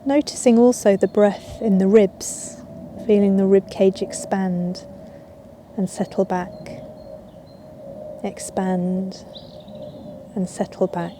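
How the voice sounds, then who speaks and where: Woman yoga teacher doing a guided relaxation, super mellow n slow, long pauses, outside w some birds in background. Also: medium pitch, little pitch movement, slight background noise. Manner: soothing, unhurried, with long pauses. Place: outdoors in a garden. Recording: outdoor ambience with birdsong. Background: birds and garden ambience.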